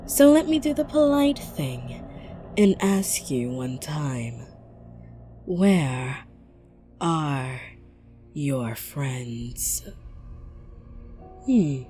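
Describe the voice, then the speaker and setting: A woman playing a coldly patient, menacing interrogator from a warrior clan, speaking deliberately and with mock politeness, slipping into whispers in an ASMR drama. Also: extremely low pitch, warm tone, heavily acted. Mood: cold, threatening. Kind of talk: dramatic ASMR roleplay monologue.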